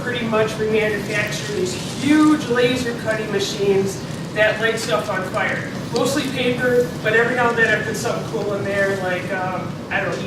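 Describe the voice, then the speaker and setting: Chatty woman lecturing in an echoey hall. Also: a little high. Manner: chatty, joking, lots of fillers. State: has a cold. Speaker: seminar presenter. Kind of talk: marketing seminar talk. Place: expo seminar room. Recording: echoey hall recorded from far off, noisy.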